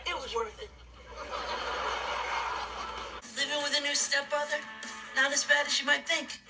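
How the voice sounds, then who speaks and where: A man's voice: extremely high pitch, steady tone, fairly quick. A young man playing an eager, earnest, dorky teenage stepbrother in a scripted sitcom monologue to camera. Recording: music behind TV dialogue.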